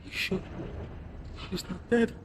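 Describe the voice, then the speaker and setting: A man's voice: slow pace, noisy background, close to an everyday voice. An actor playing a desperate male prisoner who speaks anxiously. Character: desperate male prisoner seeking his wife.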